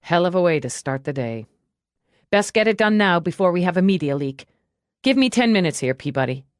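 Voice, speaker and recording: A woman's voice: lowish voice, lively intonation, brisk, no room sound, studio quality, lightly acted. Woman acting a curt, commanding female police lieutenant. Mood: grim. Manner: curt, blunt orders. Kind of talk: audiobook character dialogue.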